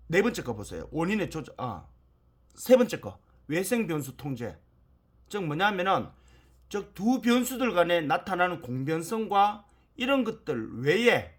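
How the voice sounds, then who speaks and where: A man's voice: sits high, slightly rough. A man lecturing in an explanatory, emphatic way, repeating key points and asking rhetorical questions.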